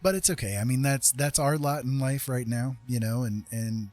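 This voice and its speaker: A man's voice: medium pitch, normal speed, darkish tone, faint music behind. A middle-aged man chatting casually as a livestream host, earnest, hesitant and reflective, thinking as he talks. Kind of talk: casual personal chat.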